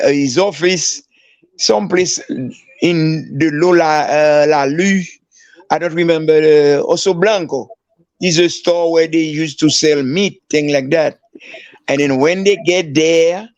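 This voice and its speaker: Older man, from Haiti, former footballer, halting storytelling, fairly high voice, dry lo-fi audio. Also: gravelly, a little mellow, no echo. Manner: halting, many restarts and fillers. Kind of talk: storytelling interview.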